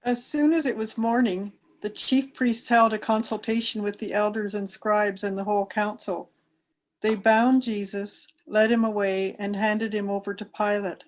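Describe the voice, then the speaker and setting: A woman's voice: mid-range voice, steady tone, normal speed, poor audio. A woman reading a scripture narrative aloud as a member of a church.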